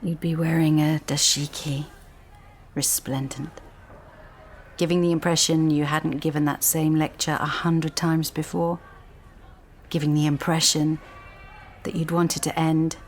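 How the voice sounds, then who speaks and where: A woman's voice: low voice, flat delivery, a little slow, a little hiss or hum. An actress playing a bitter, intense young woman, a scorned lover pressing her point insistently in a naturalistic radio drama. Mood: intense, brooding.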